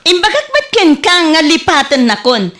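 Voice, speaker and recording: An actress with an Ilocano Filipino accent playing an exasperated, sharp-tongued woman turning down suitors, emotional and theatrical in a radio comedy drama. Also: extremely high pitch, big pitch swings, full character voice. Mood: scornful. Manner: theatrical, emotional, drawn-out delivery.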